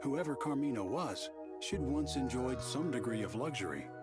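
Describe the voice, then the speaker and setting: An actor playing a composed narrator, telling a fantasy game tale in a measured, literary style. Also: mid-range voice, expressive, over loud music, close to an everyday voice. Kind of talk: scripted narration.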